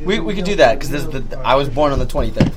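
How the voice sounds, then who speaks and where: A man's voice: mid-range voice, animated, racing, a loud crowd. A man from Florida with a Cuban family, co-hosting a casual podcast and making his points with emphatic repetition. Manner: emphatic, repeats himself. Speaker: podcast co-host. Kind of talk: casual chat.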